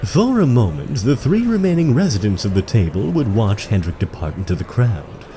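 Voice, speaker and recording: An actor playing a solemn storyteller, narrating a fantasy audio drama in a dramatic, literary manner. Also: male voice, fairly low pitch, sing-song, moderate pace, warm tone, a little hiss or hum. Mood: gentle.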